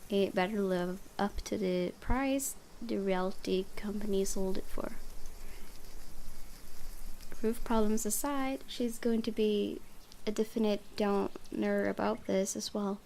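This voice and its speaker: A woman's voice: mid-range voice, fairly even intonation, slow pace, darkish tone. A woman hosting a gaming video, reading visual novel narration aloud with casual asides. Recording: clear background noise.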